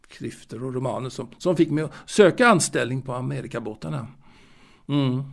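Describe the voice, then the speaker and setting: A male actor playing a wistful, bookish, secretive man who recalls his youth in a halting, confiding audio drama monologue, with a creaky voice. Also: fairly high pitch, a little mellow. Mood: dreamy, nostalgic. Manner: hesitant, halting, repeating words.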